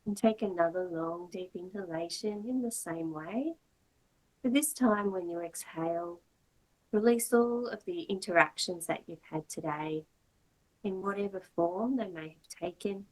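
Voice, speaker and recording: A woman with a lowish, noticeably husky voice leads a guided meditation as a yoga teacher. She speaks in short, measured phrases and leaves long pauses between them. The delivery is gentle and soothing. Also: poor audio.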